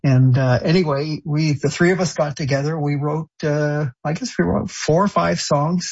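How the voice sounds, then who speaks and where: A man born in Canada and raised in France, speaking in an interview with a gravelly, husky voice. He talks haltingly, full of fillers and restarts. The audio is dull and lo-fi. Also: medium pitch, a little mellow. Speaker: show creator and songwriter. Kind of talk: interview answers.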